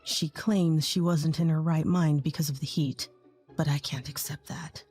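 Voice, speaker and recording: Woman doing a lowered, apologetic young husband. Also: fairly even intonation, normal speed, a little mellow, husky, soft background music. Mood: earnest. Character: remorseful husband, a young father.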